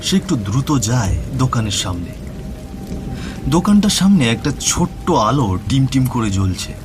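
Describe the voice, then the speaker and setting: A man's voice: medium pitch, sing-song, normal speed. A man narrating a scripted horror story as the storyteller. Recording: music bed with rain and thunder.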